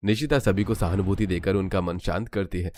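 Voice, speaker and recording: A man narrating a scripted cartoon family story in a measured storytelling manner. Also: deep voice, a little mellow.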